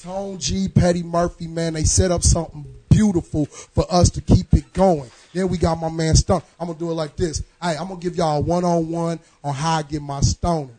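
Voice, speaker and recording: A hoarse man, a radio host, giving loose, profane shout-outs and plugging his show to friends. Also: fairly high pitch, fairly even intonation, a little fast, few pauses, no echo. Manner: boisterous, profane, rhyming bits. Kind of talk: loose hype shout-out talk.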